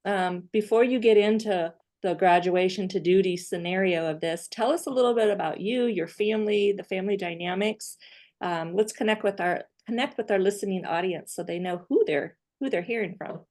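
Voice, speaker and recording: Woman, mid-pitched, podcast host, affirming, conversational. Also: normal speed, a little mellow. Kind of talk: hosting a faith podcast interview.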